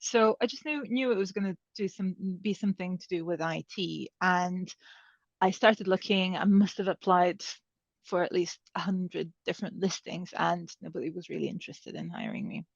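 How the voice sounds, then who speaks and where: A woman's voice: fairly low pitch, normal speed, vocal fry, dry recording. Woman, dull dry recording. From Serbia. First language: Hungarian. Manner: conversational, many fillers and restarts. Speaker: panel speaker. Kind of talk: career advice talk.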